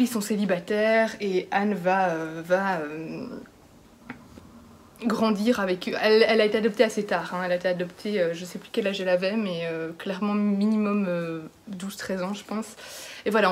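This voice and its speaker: A woman vlogger talking casually about books she plans to read, often pausing on fillers and correcting herself. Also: medium pitch, darkish tone. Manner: hesitant, many fillers and self-corrections. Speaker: book vlogger. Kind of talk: casual book talk to camera.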